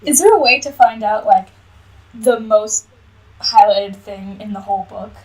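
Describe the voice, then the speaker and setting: A woman's voice: mid-range voice, measured pace, a little mellow, far from the mic, rough recording. A woman co-hosting a podcast with casual, joking banter, recorded slightly away from the mic. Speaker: podcast co-host.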